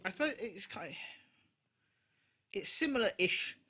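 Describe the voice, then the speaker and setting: A man with a very bright, forceful voice and lively, swooping pitch chats casually as a podcast co-host. He is emotive and emphatic, and swears freely. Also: unhurried, husky. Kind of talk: casual chat.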